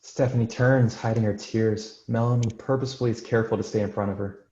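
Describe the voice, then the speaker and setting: A man's voice: fairly low pitch, steady tone, quick pace, dark tone. Male screenplay narrator: scripted delivery, mid-range voice, roomy and slightly dull recording. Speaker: narrator of stage directions.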